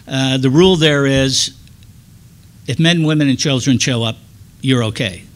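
An older man telling stories to an audience from a lectern on a hall stage, relaxed and dry-humored, into a stand mic. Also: mid-range voice, a little slow. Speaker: presenter. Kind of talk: storytelling talk to an audience.

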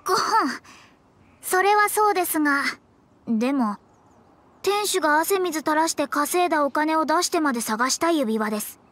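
A woman's voice: sits high, animated, normal speed, a bit bright. A woman playing a proud young female astrologer in an anime game, formal, earnest and lecturing. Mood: composed.

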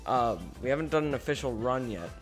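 A teenage boy streaming a game from his bedroom, chatting casually and rambling while he fiddles with his setup, his voice a bit gravelly today. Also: medium pitch, a little fast, soft background music. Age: teens. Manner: rambling, relaxed, trails off while fiddling with settings. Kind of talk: casual livestream chat. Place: small bedroom with gaming chair. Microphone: desk microphone close up.

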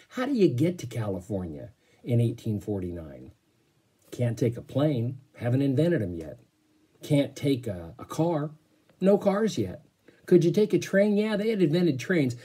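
An American man in his fifties, a teacher talking informally and reading a storybook aloud to children, with a husky voice. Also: mid-range voice, warm tone. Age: 50s. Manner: informal, asks the listeners questions. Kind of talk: casual explaining and storybook read-aloud for children. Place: small bedroom at home, indoors. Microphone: phone or laptop at arm's length.

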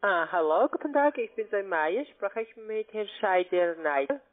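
A woman's voice: mid-range voice, lively intonation, moderate pace. A woman call centre agent, not a native German speaker, making a sales call. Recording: tinny phone line.

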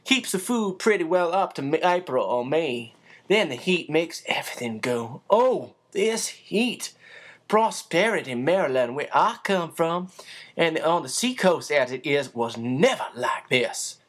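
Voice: mid-range voice, sing-song, a little slow. A reader voicing a large, bustling, talkative housekeeper woman with old-fashioned, homely speech. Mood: chatty.

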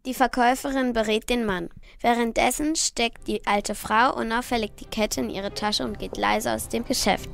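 A woman's voice: a little high, steady tone, normal speed, gravelly. A young girl narrating a crime radio play from a script. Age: child about 10 to 13.

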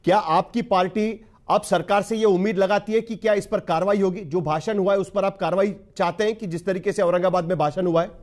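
A man's voice: sits high, fairly even intonation, fairly quick, hardly any pauses, a little mellow. A man with an Indian accent anchoring a broadcast news interview in a formal, wordy way, repeating phrases for emphasis.

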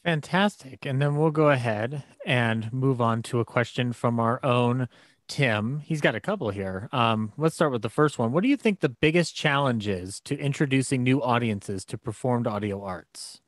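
A man hosting an interview, reading out questions in a measured, somewhat formal way. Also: mid-range voice, no room sound, clean studio sound. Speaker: interview host.

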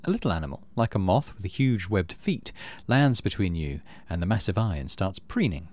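A man's voice: low-pitched, animated, normal speed, darkish tone. A man giving casual let's play commentary, thinking aloud and reading game text as he goes. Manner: thinks aloud, muttering through choices. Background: game music.

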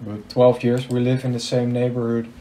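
A man's voice: medium pitch, monotone, normal speed, warm tone, slight background noise, rough recording. An eighteen-year-old man, a native Dutch speaker with a Dutch accent, chatting casually and a bit awkwardly in hesitant English. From Netherlands. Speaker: host of a gaming channel. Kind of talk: casual chat over gameplay.

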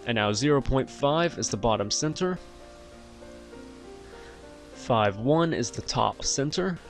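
A man hosting a software tutorial, explaining each step in an instructional way. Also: medium pitch, expressive, slow, soft background music. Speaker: tutorial host. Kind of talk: software tutorial narration over screen recording.

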